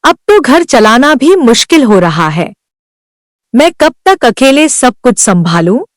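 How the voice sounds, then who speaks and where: A woman's voice: high voice, big pitch swings, unhurried, dry recording, clean studio sound. A woman voicing Sunita, a worn-down young village wife who pleads earnestly for help in a cartoon. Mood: pleading. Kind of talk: Hindi cartoon voice acting.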